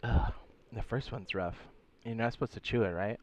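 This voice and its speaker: A man streaming a game, chatting casually and reading viewer comments, hoarse with a sore throat and losing his voice, often clearing his throat. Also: on the low side, moderate pace, a little mellow. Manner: laid-back, slangy, frequent throat clearing.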